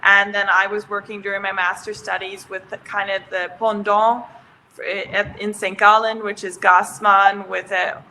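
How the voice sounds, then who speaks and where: A woman in her thirties, raised in Switzerland, talks through a video call from a room at home. Her voice is bright and clear, at a middle pitch, with faint noise underneath. She chats loosely, laughs often and restarts her sentences. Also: little pitch movement, moderate pace, rough recording. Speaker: startup founder, guest. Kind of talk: casual interview on a video call. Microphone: video call webcam.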